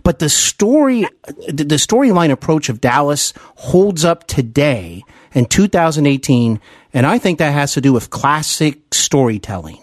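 A man's voice: fairly high pitch, very animated, moderate pace, no room sound, studio quality. A man hosting a podcast interview, putting questions in a polite, agreeable and wordy conversational way.

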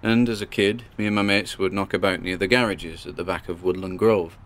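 An earnest young male ghost-hunting vlogger. He talks in a chatty, halting, reflective way, as if keeping an audio diary on tape for a radio drama. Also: deep voice, steady tone, fairly bright tone. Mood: reminiscing. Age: 20s. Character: Miles Gordon, earnest 27-year-old male paranormal investigator vlogger.

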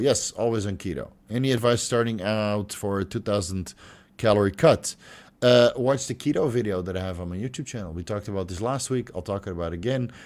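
A young man hosting a casual livestream Q&A, joking and swearing freely, with his voice turning hoarse and stuffy. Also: fairly low pitch, darkish tone. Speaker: host answering viewer questions.

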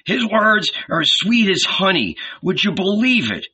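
Enthusiastic storybook cobbler played by a man, raised, lightly raspy voice, colloquial and admiring. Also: high-pitched, very animated, a little slow, bright, forceful tone, lo-fi sound, voice pushed up, heavily acted. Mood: delighted. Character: gangling cobbler, simple, enthusiastic, delighted admirer.

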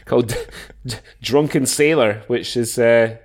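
A man co-hosting a casual podcast over a remote call, his voice deepened by a cold, restarting sentences often and laughing easily. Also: slow pace, very noisy. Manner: stammering restarts, easy laughter. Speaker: podcast co-host. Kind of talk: casual chat. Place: home in Dubai.

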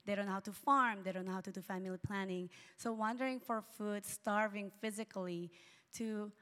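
A woman's voice: medium pitch, fairly even intonation, a little fast, a bit bright, clean tone. A woman whose first language is Tagalog, speaking earnestly as a missionary at a church mission presentation. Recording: long hall reverb.

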